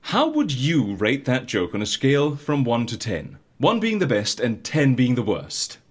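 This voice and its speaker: An actor playing an eccentric, bossy, exasperated secret agency interviewer with a theatrical, incredulous manner in a comedy audio drama. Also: male voice, medium pitch, huge pitch swings, a little slow, breathy edge, heavily acted. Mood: bossy, dry.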